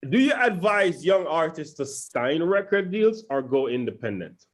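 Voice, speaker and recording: A man's voice: fairly high pitch, sing-song. A man hosting a music interview, asking questions in a casual, enthusiastic way and laughing easily. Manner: enthusiastic, laughs easily, quick bursts of talk. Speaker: interview host.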